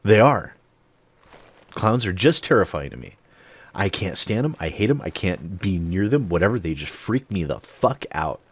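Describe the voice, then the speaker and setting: A man in his late thirties, an animated storyteller who swears freely, telling a personal story straight to the mic. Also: deep voice, moderate pace, breathy edge. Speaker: channel host. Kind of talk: storytime monologue.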